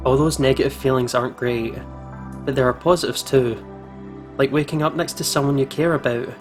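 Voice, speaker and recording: A man hosting a gaming video, reading story narration aloud casually and stumbling over words now and then. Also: mid-range voice. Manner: giggly, stumbles while reading. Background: game music.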